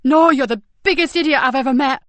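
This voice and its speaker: A woman voicing a tough, fierce female police officer who speaks forcefully and urgently. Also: on the high side, steady tone, normal speed, no room sound, natural voice. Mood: pleading.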